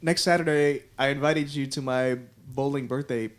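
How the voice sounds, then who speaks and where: A man in his late twenties hosting a casual podcast chat, easygoing and quick to laugh. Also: medium pitch, steady tone. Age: 20s. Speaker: podcast host.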